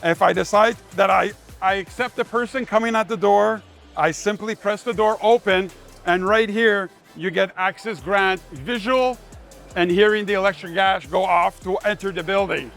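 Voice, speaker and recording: A man's voice: high-pitched, moderate pace, vocal fry, fairly bright tone, faint music behind. A man giving a live sales demonstration of a product, speaking in emphatic short phrases like a salesman. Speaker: sales manager presenting a product. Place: trade show demonstration.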